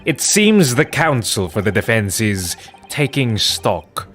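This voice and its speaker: Man playing a pompous, low-voiced prosecutor. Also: sing-song, takes it slowly, a bit bright, faint music behind, full character voice. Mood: haughty. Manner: pompous, condescending, formal. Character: haughty, sardonic British prosecutor in Victorian courtroom. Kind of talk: dramatic video game dub.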